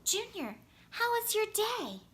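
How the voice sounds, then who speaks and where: A woman playing a caring, gently probing mother in a children's storybook. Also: extremely high pitch, very animated, takes it slowly, pitched above the performer's own voice, heavily acted. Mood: curious.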